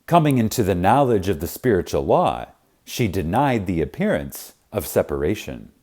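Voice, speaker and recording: A man narrating a spiritual audiobook in a reverent, emphatic manner, with deliberate pauses. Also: low voice, very animated, a little mellow. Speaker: audiobook reader. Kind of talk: scripted narration of a spiritual self-help audiobook.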